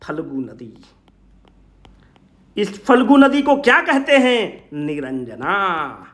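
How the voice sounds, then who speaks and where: A man's voice: high-pitched, fairly bright tone. A man giving an informal exam revision lecture, asking questions and answering them himself while addressing students familiarly. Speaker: exam coaching teacher. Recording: roomy, echoing sound.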